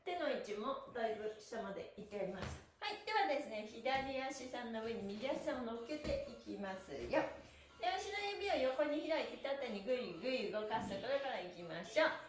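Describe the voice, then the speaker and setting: A middle-aged woman teaches an exercise class in a high, swooping voice. She is polite, upbeat and encouraging, with a sing-song energy. The room around her is noticeably echoey. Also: very animated, fairly quick, a little hiss or hum. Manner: cheerful, polite, encouraging, often chuckling. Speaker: stretching instructor and livestream host. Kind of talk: livestreamed exercise class with chanted counts. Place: small indoor room, on an exercise mat. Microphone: camera a couple of metres away.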